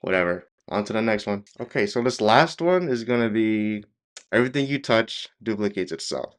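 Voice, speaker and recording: Low-voiced, nonchalant man. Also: expressive, normal speed. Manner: nonchalant, low-key, hesitant trailing phrases. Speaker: reaction video host. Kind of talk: casual reaction commentary.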